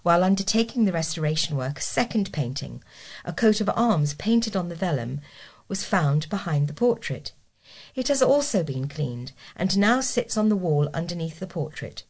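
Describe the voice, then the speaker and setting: Woman with a lowish voice reading local news stories from a script, volunteer reader. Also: sing-song, moderate pace, a little mellow.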